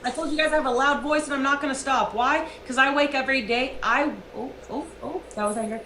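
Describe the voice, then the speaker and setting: A high-pitched, sharp-toned woman rants into her stream, swearing and hammering every point. Her voice rings in an echoey room, with a faint hiss under it. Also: fast talker. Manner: profane, emphatic ranting. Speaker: livestreamer. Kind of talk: heated livestream rant.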